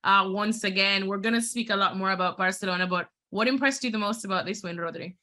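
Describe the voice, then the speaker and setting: A woman hosts a football show in casual, enthusiastic chat, with plenty of fillers. Her tone is bright and forceful, but a little hoarse today. She keeps the talk moving at a middling pitch. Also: fast. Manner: chatty, enthusiastic, many fillers. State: slightly hoarse.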